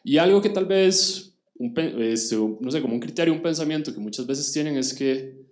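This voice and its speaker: A man's voice: fairly high pitch, lively intonation, normal speed, darkish tone, roomy sound. A man from Costa Rica with a Costa Rican accent, giving an explanatory conference talk directly to his audience. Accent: Costa Rican Spanish. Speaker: conference speaker.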